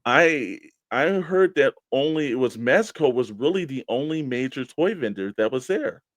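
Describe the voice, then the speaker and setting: An American man speaks as a co-host in casual radio-show chat. His delivery is informal and emphatic, with a middling pitch and a dry sound free of room reverb. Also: lively intonation, normal speed. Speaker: co-host of a toy collecting show.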